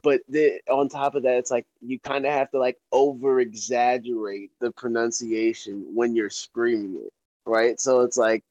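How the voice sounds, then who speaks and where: Young man, from North Florida, rapper guest, rambling casual talk, medium pitch, dry. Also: fairly even intonation, normal speed, darkish tone, dry recording, muffled recording. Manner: laid-back, rambling, heavy fillers. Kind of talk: casual podcast chat.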